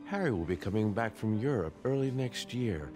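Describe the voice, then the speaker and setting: A man plays a powerful middle-aged mayor in a game cinematic. His voice is lowish and swings widely as he speaks with smug, false joviality, slowly and over music. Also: expressive, lightly acted. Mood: smug, patronizing. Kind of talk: video game cinematic acting. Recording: music behind the voice.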